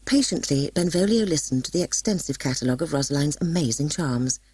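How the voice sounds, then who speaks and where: A woman reading a scripted storybook as an audiobook narrator, in a husky voice with dramatic, expressive delivery. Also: deep voice, brisk, no room sound, poor audio.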